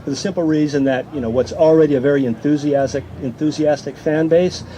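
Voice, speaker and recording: A man's voice: on the high side, fairly even intonation, fairly quick, dark tone, rough recording. A man on a panel answering an audience question informally, off the cuff, as a statistician. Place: outdoors at a campground. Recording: outdoor event with faint noise. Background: faint outdoor ambient noise.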